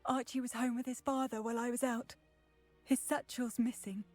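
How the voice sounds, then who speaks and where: A woman's voice: a little high, little pitch movement, moderate pace, darkish tone, lightly acted. A woman playing a middle-aged widow and anxious mother in a video game, sorrowful and anxious. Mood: distraught. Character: grief-stricken widowed mother, anxious, gentle villager witch. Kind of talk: video game character dialogue. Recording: music behind the voice.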